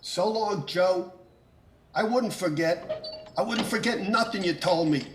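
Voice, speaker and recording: An actor playing a simple-minded, obedient shell-shocked veteran, childlike and eager to please, in a 1930s radio drama style. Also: male voice, high voice, unhurried, fairly bright tone, slight background noise. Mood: childlike, devoted.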